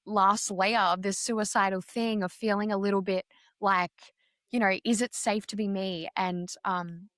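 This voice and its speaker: Woman, direct and candid, plenty of fillers and restarts, mid-pitched voice, dry close sound. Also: fairly bright tone, no echo. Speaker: guest. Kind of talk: candid personal interview.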